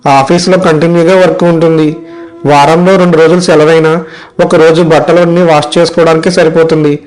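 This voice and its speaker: Man playing a weary, worried young son, flat delivery. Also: fairly high pitch, close to an everyday voice, poor audio. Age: young adult. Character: weary, worried young male software worker, dutiful son. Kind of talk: animated moral story dialogue.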